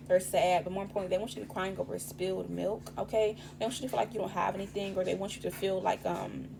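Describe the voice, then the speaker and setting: A woman reads tarot cards in a loose, rambling way, swearing often and leaning on street slang. Her voice is raspy this morning and she talks quickly. A steady hum and background noise sit under the recording. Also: medium pitch. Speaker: tarot reader. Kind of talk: casual tarot reading.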